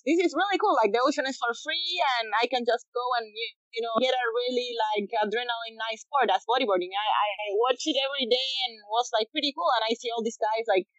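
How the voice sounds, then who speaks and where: Chilean woman, late 20s, a little high, muffled dull dry sound, halting casual interview answers. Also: fairly bright tone, dry recording. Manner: halting, many restarts and fillers. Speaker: guest, professional bodyboarder.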